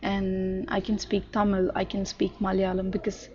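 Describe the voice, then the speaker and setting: Indian woman interviewee, talkative and explanatory, monotone delivery on a muffled recording. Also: mid-range voice, moderate pace, a little hiss or hum. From northern India. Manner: chatty, explains at length with fillers. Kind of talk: casual conversational answers.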